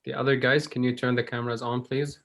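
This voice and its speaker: A man's voice: medium pitch, little pitch movement, quick pace, fairly bright tone. A man teaching an online English class over a video call, friendly and patient, with loose fillers between thoughts.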